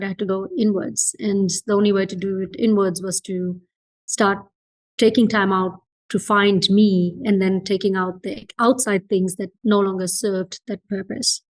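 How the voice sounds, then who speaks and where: A woman from Fiji talks as a guest in a conversational interview. Her mid-pitched voice comes across earnest and reflective. She repeats words to drive a point home. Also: steady tone, moderate pace, rough recording. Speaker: entrepreneur being interviewed as a guest.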